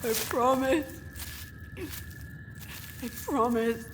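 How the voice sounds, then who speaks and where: A woman's voice: sits high, extremely slow, noisy background. A woman playing a desperate, insistent lost wanderer in an audio drama, pleading and vowing. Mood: desperate, determined.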